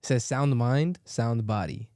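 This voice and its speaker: A man co-hosting a podcast, asking playful questions and agreeing quickly. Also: mid-range voice, warm tone, no echo, clean studio sound. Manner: eager listener, short agreeing interjections and playful questions. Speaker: podcast co-host. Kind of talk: casual podcast chat.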